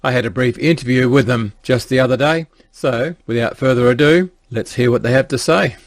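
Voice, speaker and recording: A man's voice: mid-range voice, fairly quick, no room sound, clean studio sound. A man reading a short announcement in a plain, scripted way. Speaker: news presenter. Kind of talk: read announcement.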